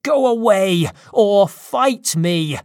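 A narrator voicing an anguished, furious man in an audiobook story, with loud, emphatic, dramatic delivery. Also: medium pitch, lively intonation, very slow, no echo, studio quality, voice pushed up. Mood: defiant. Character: anguished, furious man confronting his own creation.

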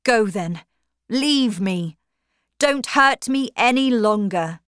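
A woman's voice: on the high side, animated, slow, fairly bright tone, clean tone, dry recording, clean studio sound, pitched above the performer's own voice. A woman voicing a passionate, desperate young man in a storybook reading, speaking urgently. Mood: bitter. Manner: urgent, emotional.

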